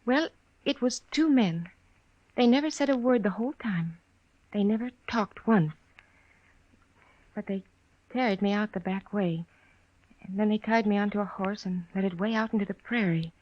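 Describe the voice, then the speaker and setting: A woman playing a weary, gentle, plain-spoken frontier wife with halting, careful speech in a western radio drama. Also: medium pitch, dry recording, close to an everyday voice. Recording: dry, slightly dull old radio recording.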